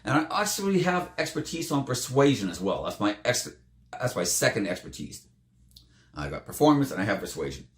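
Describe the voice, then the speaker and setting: Animated, slightly hoarse man in echoey room. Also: fairly quick. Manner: animated, jumps up in pitch for emphasis. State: slightly hoarse, losing his voice. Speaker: tabletop game player recounting a campaign. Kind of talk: casual storytelling to camera.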